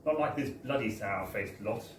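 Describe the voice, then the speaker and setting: A man's voice: mid-range voice, moderate pace. A British man reading a wry first-person comic story aloud at a live event, in a chatty, theatrical storytelling manner. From Britain. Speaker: reader at a book launch. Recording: echoey live bar, distant mic, faint noise.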